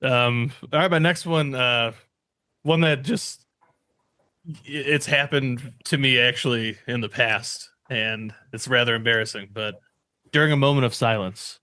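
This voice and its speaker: Male podcast host, average pitch, dry recording, loose joking banter with friends. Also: unhurried, sharp tone, no echo. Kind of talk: casual joking podcast chat.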